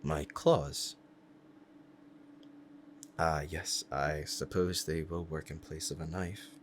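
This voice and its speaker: A man's voice: very deep voice, fairly even intonation, takes it slowly, slight background noise. A man playing a powerful demon devoted to a beloved master, speaking formally and earnestly in a romantic ASMR roleplay. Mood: hesitant, curious. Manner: formal archaic diction, earnest and reverent.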